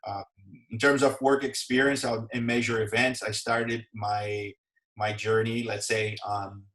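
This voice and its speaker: A man with a Brazilian accent and Portuguese as his first language, talking haltingly about his career as an interview guest. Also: fairly low pitch, flat delivery, fairly bright tone. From southern Brazil. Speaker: podcast guest, sports executive. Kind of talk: conversational interview, telling own career story. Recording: roomy, echoey sound.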